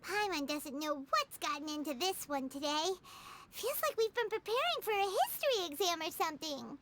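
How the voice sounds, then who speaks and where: A woman's voice: very high voice, big pitch swings, moderate pace, background noise. A woman voicing a small, childlike, nosy and impatient fairy companion in a video game. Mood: puzzled, chirpy. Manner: refers to self in third person, pert. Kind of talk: video game character acting.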